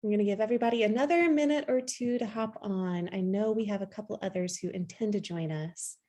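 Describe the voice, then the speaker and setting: A woman in her thirties leading a poetry workshop on a video call through earbuds, speaking gently and encouragingly with frequent ums and restarts. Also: medium pitch, fairly quick. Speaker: workshop facilitator. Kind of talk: online workshop teaching. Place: home room with bookshelves. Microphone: earbud headset on a video call.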